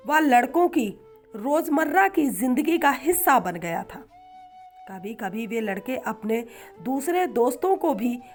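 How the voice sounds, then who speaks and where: A woman narrating a scripted moral story in a measured, dramatic storytelling manner. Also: high voice.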